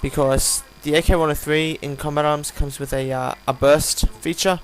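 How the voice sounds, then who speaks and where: Croaky, tired man with background hum. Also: fairly high pitch, fairly even intonation, normal speed, low-quality recording. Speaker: gaming video host reviewing a weapon. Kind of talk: casual unscripted gameplay commentary. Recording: home recording with audible hum, background noise.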